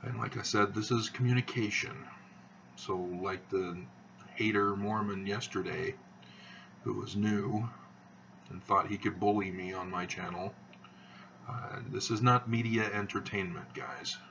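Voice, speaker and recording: A man hosting his own video channel, talking in a slow, halting monotone with many fillers as he rambles. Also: lowish voice, creaky, distant mic, dull audio, slight background noise. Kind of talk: rambling monologue to camera.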